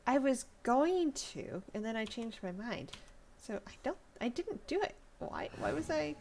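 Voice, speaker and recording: A woman's voice: a little high, sing-song, measured pace, gravelly, darkish tone, a little hiss or hum. A woman giving casual, rambling live commentary as she streams a video game.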